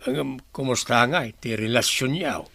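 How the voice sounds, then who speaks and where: Gravelly, caring Ilocano father. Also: mid-range voice, moderate pace, bright, forceful tone, no room sound. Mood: fatherly. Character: caring, advising older father. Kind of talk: Ilocano radio drama dialogue.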